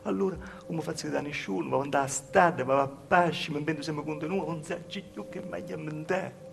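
A male actor playing a poor, naive, anguished young village woman in love, in a stage monologue with a Calabrian accent, telling it in halting, repetitive short phrases. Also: a little high, steady tone, vocal fry. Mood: anxious waiting. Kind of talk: solo stage monologue in southern dialect. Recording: faintly noisy live theatre recording.

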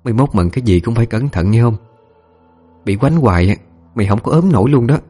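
A gentle, caring young woman, voiced by a man in an audio story. She speaks with soothing concern and a Southern Vietnamese accent. There is faint music in the background. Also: medium pitch, lively intonation, fast talker, slightly rough, voice pushed up.

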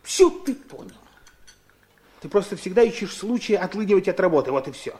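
A man's voice: high voice, lively intonation, brisk, a little mellow, a little hiss or hum. An actor playing an irritable, bullying, grasping middle-aged father in a radio drama, brusque and given to nagging repetition. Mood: scolding. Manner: brusque, nagging, repeats himself for emphasis. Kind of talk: theatrical radio drama acting.

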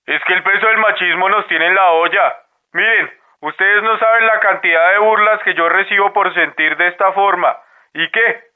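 Phone-like sound, high clear young male voice, actor as sensitive romantic, Colombian accent, earnest, radio drama. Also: normal speed, close to an everyday voice. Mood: earnest, defensive. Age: young adult. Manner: earnest, colloquial.

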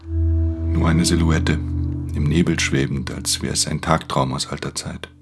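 Weary loner pickpocket, super deep voice, understated radio drama narration, music behind. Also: male voice, flat delivery. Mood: brooding, watchful. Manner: measured, introspective, understated. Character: male professional pickpocket, haunted, watchful, weary loner.

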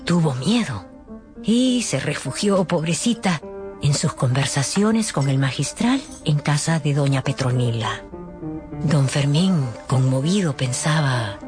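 Animated female storyteller over music. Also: low voice, measured pace. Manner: expressive, storytelling with conversational asides. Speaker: narrator. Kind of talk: dramatized audiobook narration in Spanish.

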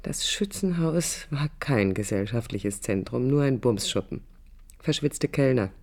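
A woman's voice: a bass voice, lively intonation, measured pace, a little mellow, full character voice. An actress playing a proud, idealistic young woman architect in a radio drama, articulate, combative and ironic. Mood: wry, reflective. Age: young adult. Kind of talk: radio drama acting with interior monologue. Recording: 1980s radio play with background noise.

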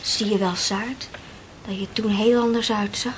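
An actress playing a polite, gentle, soft-spoken young lady of good family in a Dutch radio play. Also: medium pitch, steady tone, measured pace, a little hiss or hum, lightly acted, low-quality recording.

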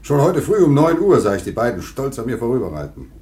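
A man's voice: medium pitch, big pitch swings, quick pace, a little mellow, lightly acted. A man playing a courteous, dignified town mayor in a radio play, speaking formally. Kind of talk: German radio play acting.

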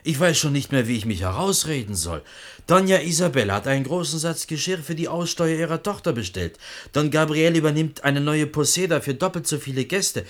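A man's voice: a little high, animated, a little fast, natural voice. An actor playing a humble, anxious potter in a German radio play, earnest and stubbornly insistent in his pleading. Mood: anxious, pressing.

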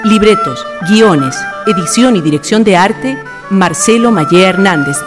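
A woman's voice: medium pitch, lively intonation, unhurried, music in the background. A woman giving scripted narration for a radio drama, in a storyteller's role.

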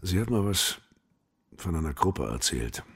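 Old hardline communist man, low voice, very varied intonation, sardonic, doctrinaire, radio drama. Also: big pitch swings, measured pace, husky, heavily acted. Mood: grudging. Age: older. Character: old male hardline communist, scornful, dogmatic. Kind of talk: German radio play dialogue.

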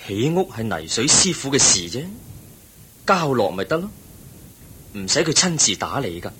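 An actor with a Hong Kong Cantonese accent playing the Buddha in a radio drama, a calm, wise teacher who explains things patiently and in measured phrases. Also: male voice, breathy edge, heavily acted. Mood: calm concern. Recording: noisy recording with low rumble.

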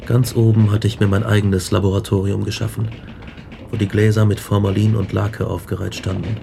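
Radio drama lead: haunted experimenter, brooding and intense, lowish creaky voice over noisy atmosphere. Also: male voice, level tone, brisk. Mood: brooding fascination. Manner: intense, brooding, dwelling on words. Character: obsessive, haunted male scientist recounting his grisly experiments. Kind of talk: radio drama acting and narration.

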